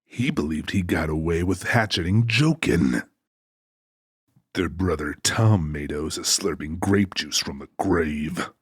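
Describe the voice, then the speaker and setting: A menacing male avenger from a crime audiobook, acted by a man, with a very deep, husky voice. He speaks deliberately and close to the mic in a dry space, with folksy, mock-polite formality. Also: animated, no echo, heavily acted. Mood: taunting. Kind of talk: audiobook character acting.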